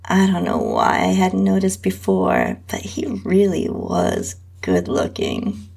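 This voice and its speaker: A woman's voice: lowish voice, wildly swooping pitch, slow pace, gravelly, a little mellow, breathy edge. A woman host narrating an intimate first-person erotic story.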